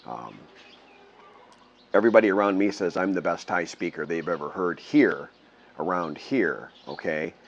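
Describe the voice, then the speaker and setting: A man's voice: sits low, lively intonation, moderate pace, gravelly, lo-fi sound. An older man from the Midwestern US talking casually to camera, rambling, correcting himself and chuckling as he goes, outdoors under a metal roof. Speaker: vlogger living abroad.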